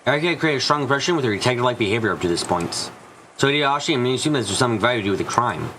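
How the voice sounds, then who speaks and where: A man's voice: mid-range voice, expressive, rapid-fire, pushed and bright, slight background noise, rough recording. A man reading scripted story narration for a visual novel fan dub.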